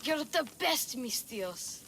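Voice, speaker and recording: A woman's voice: high voice, animated, slow pace, fairly bright tone, slight background noise, heavily acted. A woman voicing an earnest, plaintive young boy in a video game cutscene. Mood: hopeful. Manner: plaintive, childlike. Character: earnest, grieving young boy, devoted to his father.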